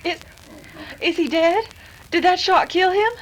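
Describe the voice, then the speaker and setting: A tender, fretful young heroine of a radio western, acted by a woman. Her very high voice pleads with melodramatic worry. Faint noise sits behind her. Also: a little slow. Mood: anxious. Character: distraught young rancher's daughter, tender and fretful. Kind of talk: old-time radio western acting.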